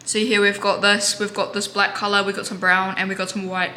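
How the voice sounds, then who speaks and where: A woman vlogging in a home kitchen, chatting in a rambling way with sarcastic, deadpan asides, recorded on a camera mic. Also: medium pitch, fairly quick, slight background noise. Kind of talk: casual vlog chat. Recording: roomy with music bed.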